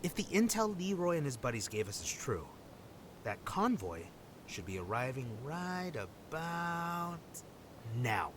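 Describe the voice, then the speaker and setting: An actor playing a cocky, playful undercover operative in an audio drama, with a theatrical, teasing delivery. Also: male voice, a little high, sing-song, a bit bright, a little hiss or hum. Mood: teasing, upbeat. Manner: theatrical, joking, drawn-out delivery.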